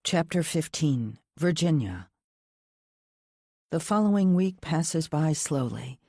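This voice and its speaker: A woman's voice: low voice, animated, slow, dark tone, breathy edge, no echo, studio quality. A woman reading a first-person thriller audiobook as scripted narration in the present tense.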